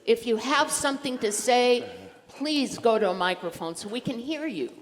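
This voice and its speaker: A woman moderating a town hall, briskly directing speakers to the microphone. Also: on the high side. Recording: large hall reverb with faint noise.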